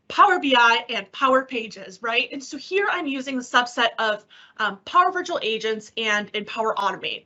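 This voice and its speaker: A woman giving a software demo in a conversational way, explaining things with examples and rhetorical questions over an online meeting. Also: on the high side. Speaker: technical specialist presenting a software demo. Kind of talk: webinar demo and question answering. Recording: dull, lo-fi online call audio.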